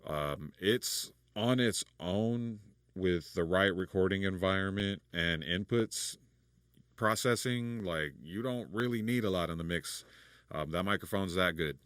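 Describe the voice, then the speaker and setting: Deep-voiced man explaining studio recording. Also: measured pace, dry recording. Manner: relaxed, rambling, many fillers and restarts. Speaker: recording engineer and producer. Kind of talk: casual studio tutorial.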